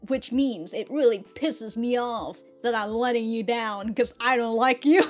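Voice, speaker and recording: A woman's voice: fairly high pitch, unhurried, soft background music. A woman giving a casual episode review as a reaction host, in slangy, sweary talk with mock indignation and frequent laughter.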